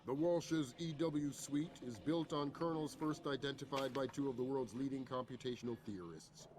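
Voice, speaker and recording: An actor playing a calm, authoritative intelligence chief who speaks in a measured, firm briefing tone. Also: male voice, medium pitch, close to an everyday voice. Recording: game cutscene with music underneath.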